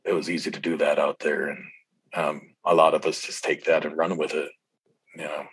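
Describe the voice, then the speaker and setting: A man's voice: rumbling low, little pitch movement, fairly quick, a bit bright, slightly rough, no echo. A man chatting casually as an interview guest, reminiscing in a rambling, reflective way.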